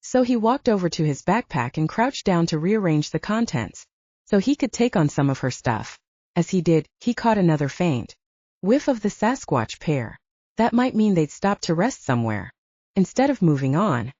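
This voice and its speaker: Mellow, husky woman narrating fiction. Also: on the low side, big pitch swings, normal speed, no echo, lo-fi sound. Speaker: audiobook narrator.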